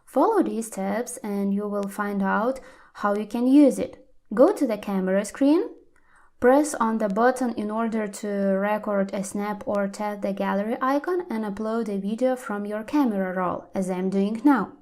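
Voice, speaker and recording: A woman's voice: mid-range voice, moderate pace, a little mellow. A woman hosting a how-to tutorial, reading scripted instructions step by step. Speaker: tutorial host.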